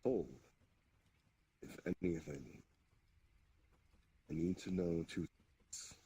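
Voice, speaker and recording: A man playing a newly awakened Demon King in improvised roleplay, speaking in a deliberate, halting, formal and commanding way. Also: deep voice, mellow, full character voice. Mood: stately, commanding. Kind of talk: improvised virtual-reality roleplay. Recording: clearly noisy amateur voice-chat audio.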